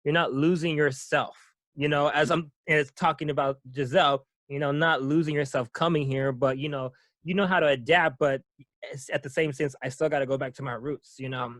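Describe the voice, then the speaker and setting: A man's voice: a little high, fairly even intonation, brisk, fairly bright tone, dry recording. A man hosting a casual interview, talking in a rambling way with lots of fillers. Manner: rambling, many fillers, laughs often. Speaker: podcast host.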